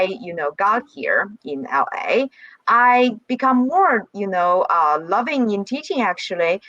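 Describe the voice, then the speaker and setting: A woman professor from China with a Chinese accent, introducing herself on a video call in a cheerful, halting way with many fillers and laughs. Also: fairly low pitch, pushed and bright, dry recording, lo-fi sound. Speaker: geography professor. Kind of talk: online workshop introduction.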